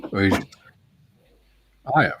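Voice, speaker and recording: A man's voice: takes it slowly, noisy background. A man hosting a live stream, casually reading out chat messages with chuckles and jokes. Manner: chuckling, jokey.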